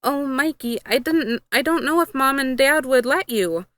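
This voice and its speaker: A woman voicing an anxious girl, lifted pitch. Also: moderate pace, a bit bright, no echo. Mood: flustered, hesitant. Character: anxious young girl, eager to please. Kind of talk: storybook dialogue in fan fiction.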